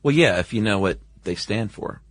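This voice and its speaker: Low-voiced man chatting as podcast co-host. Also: animated, normal speed, dry recording. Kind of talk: casual chat.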